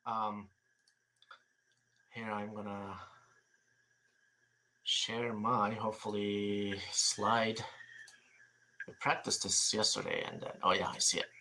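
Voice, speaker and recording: A man in his forties from Turkey with a Turkish accent, giving an online talk with many pauses and fillers. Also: lowish voice, pushed and bright, slight background noise, low-quality recording. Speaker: guest speaker, seed saver. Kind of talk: online presentation.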